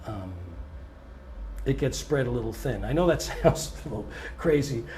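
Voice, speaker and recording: A touring blues singer and guitarist with a scratchy voice, answering interview questions in a relaxed, thoughtful way and often pausing on "um". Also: male voice, medium pitch. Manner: relaxed and thoughtful, many ums, restarts now and then.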